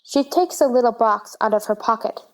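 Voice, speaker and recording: A woman's voice: a little high, fairly quick. A woman narrator reading stage directions in scripted narration for a play.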